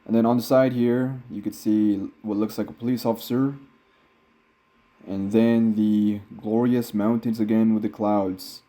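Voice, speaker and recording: An American man, his accent slightly tinged Filipino, giving relaxed, rambling vlog commentary from inside a car while driving mountain roads. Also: lowish voice, measured pace. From United States. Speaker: vlogger. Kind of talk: casual driving vlog narration.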